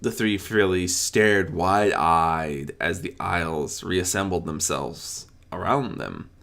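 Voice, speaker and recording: A man's voice: low-pitched, takes it slowly, gravelly, fairly bright tone. A man reading a scripted story aloud as its narrator. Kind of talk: scripted fan-fiction narration.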